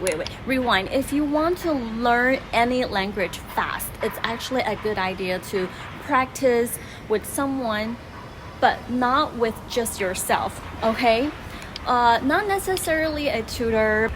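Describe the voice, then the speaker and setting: A young woman from Taiwan whose first language is Chinese, telling her story and giving advice as a channel host in a chatty, teacherly way. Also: on the high side. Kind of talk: casual vlog storytelling. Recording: noticeable background noise.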